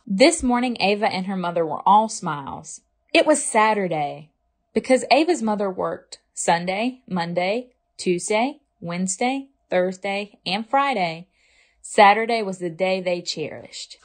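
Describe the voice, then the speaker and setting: Woman storyteller for children's picture book, mid-pitched, unhurried and deliberate, expressive phrasing. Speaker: library storytime reader. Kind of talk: scripted picture-book read-aloud for children.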